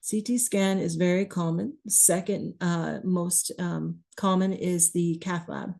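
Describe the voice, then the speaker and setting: A woman gives a measured, explanatory lesson in a lowish, mellow voice. Her voice is slightly hoarse, as if she is losing it. She talks steadily through each point. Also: fairly even intonation. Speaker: ECMO educator. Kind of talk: instructional talk.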